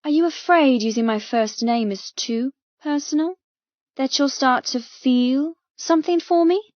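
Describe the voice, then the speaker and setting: A woman's voice: high voice, a little slow, no room sound, lo-fi sound, pitched above the performer's own voice. A woman voicing a bold, defiant young female captive, challenging and emphatic in dramatic audiobook dialogue. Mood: bold, provoking.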